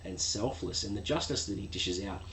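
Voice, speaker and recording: A man's voice: on the low side, animated, fairly bright tone. A man getting over a cold, sounding nasal, giving a casual book review with many fillers. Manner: enthusiastic, measured, frequent uh fillers. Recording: echoey room with faint ambient noise.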